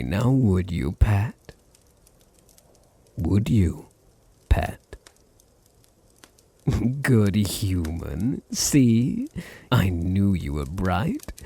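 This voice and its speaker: A man with a deep British voice playing a possessive, dominant vampire master in an intimate ASMR roleplay, teasing and condescending, with a gravelly, husky murmur. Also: mellow, full character voice. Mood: smug, possessive, then doting, hungry. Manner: slow, teasing, condescending, drops into breathy murmurs.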